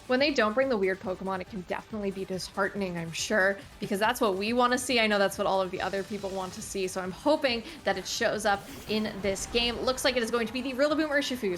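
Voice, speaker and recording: A woman doing play-by-play commentary on a competitive match, brisk and analytical, now and then correcting herself. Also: medium pitch, fairly bright tone. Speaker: esports commentator. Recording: music playing under the voice. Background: background music.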